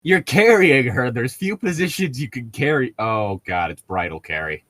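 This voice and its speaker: Guy reading a story out loud w friends, super animated, sarcastic nitpicky asides, dry sound. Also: mid-range voice, normal speed, dry recording. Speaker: co-host reading fan fiction aloud. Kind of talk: casual group read-aloud with joking commentary.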